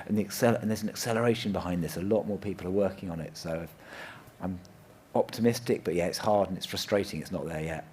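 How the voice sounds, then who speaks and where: A man's voice: low-pitched, fast talker, darkish tone, slight background noise. A man giving an earnest, unscripted panel answer at a conference, often repeating and restarting his phrases. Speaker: panel speaker. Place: live conference event.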